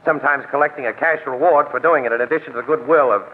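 An actor playing a brash, wisecracking newspaper crime photographer who talks confident city slang in 1940s radio drama style. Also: male voice, mid-range voice, racing, slight background noise, close to an everyday voice. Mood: shrewd, scheming. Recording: tinny vintage radio broadcast with music.

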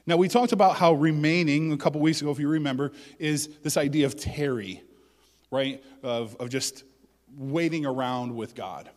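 A male pastor giving a conversational sermon, with joking asides and loud bursts of emphasis. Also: a little high, normal speed, long reverb tail.

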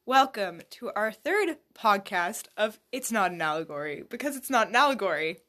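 A woman's voice: medium pitch, lively intonation, moderate pace, fairly bright tone. A woman co-hosting a casual podcast, bubbly and joking, laughing easily as she chats. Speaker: podcast co-host. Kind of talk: casual chat.

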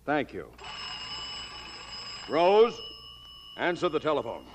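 A man playing a gruff, exasperated, middle-aged father in old radio comedy, blustering and sardonic. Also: a little high, big pitch swings, slow pace, background noise. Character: gruff, exasperated, domineering father of a turn-of-the-century family. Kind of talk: 1940s radio comedy acting. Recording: dull vintage radio recording.

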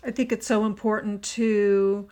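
A woman's voice: mid-range voice, flat delivery, measured pace, a little mellow. A woman hosting a podcast interview in a conversational, affirming and supportive way. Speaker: podcast host.

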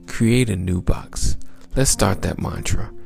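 Gravelly deep-voiced male motivational coach. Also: takes it slowly, darkish tone, soft background music. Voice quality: smooth, gravelly low voice. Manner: upbeat, repetitive coaching exhortations. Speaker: podcast host and mindset coach. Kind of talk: motivational podcast talk.

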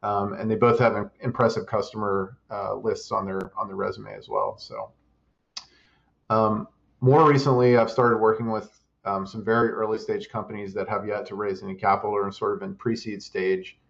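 A man answering interview questions about startup investing and advising, in a measured way with many fillers and restarts. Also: on the low side, steady tone, vocal fry, lo-fi sound.

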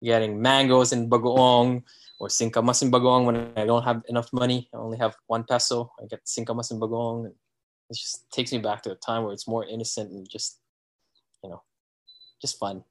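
Brief: thirties male guest, laid-back storyteller, lots of fillers, mid pitch. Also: brisk. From Philippines. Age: 30s. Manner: relaxed and reminiscing, with fillers. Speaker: podcast guest. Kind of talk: casual chat.

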